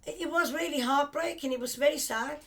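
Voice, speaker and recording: An older woman with a high, light voice recalls her family's past at an unhurried conversational pace. She drifts and restarts as memories come back, reflective rather than polished. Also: creaky. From England, of Cypriot family. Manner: rambling, reflective storytelling with restarts. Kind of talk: reminiscing conversation.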